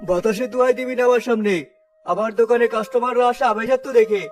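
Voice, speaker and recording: A man's voice: very high-pitched, quick pace. A man voicing a harsh, haughty sweet-shop owner in a cartoon story, with a contemptuous, domineering, scolding manner. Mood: angry, scolding. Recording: clear background music throughout.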